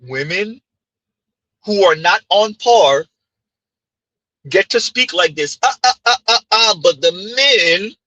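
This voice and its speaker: Husky high-voiced man with a Haitian accent. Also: expressive, slow, pauses often, pushed and bright, dry recording, low-quality recording. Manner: emphatic, rhetorical "huh?" asides. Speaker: commentary streamer. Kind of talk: reaction stream commentary.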